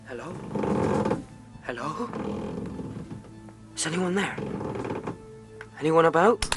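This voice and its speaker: Plucky, animated young adventurer lad. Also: male voice, high voice, vocal fry, exaggerated voice. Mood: frightened. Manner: earnest, old-fashioned seafaring speech. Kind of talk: radio drama acting with first-person narration.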